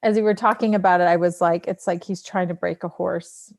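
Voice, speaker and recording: Woman with a lowish voice, chatting. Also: fairly even intonation, brisk, vocal fry, a little mellow. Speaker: actor and podcast panelist. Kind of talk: casual discussion.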